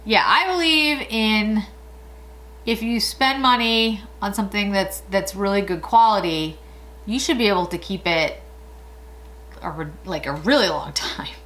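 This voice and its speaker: A woman's voice: mid-range voice, a little slow, a bit bright. Woman in her late forties, beauty vlogger, chatty, rambling, frequent little laughs. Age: 40s. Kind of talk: casual makeup tutorial chat.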